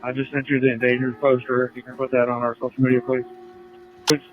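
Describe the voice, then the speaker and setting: A man's voice: mid-range voice, completely flat delivery, quick pace. A man talking over a two-way radio as a search responder, in practical dispatch talk. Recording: dull radio audio, faint music.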